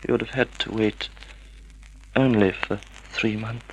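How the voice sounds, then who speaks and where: An actor playing a wounded, frail semi-invalid husband in an old-time radio drama, halting and pleading. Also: male voice, fairly low pitch, fairly even intonation, natural voice. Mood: hurt. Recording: noisy vintage radio recording.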